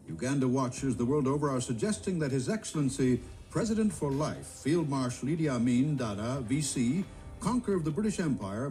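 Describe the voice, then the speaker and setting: A man reading a formal broadcast news report in a measured newsreader manner, from an archive clip. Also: mid-range voice, mellow.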